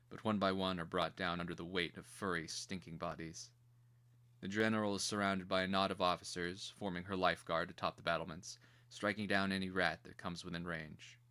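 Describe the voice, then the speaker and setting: A man narrating a screenplay as scripted drama, in a grave, deliberate and theatrical manner. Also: deep voice, sharp tone.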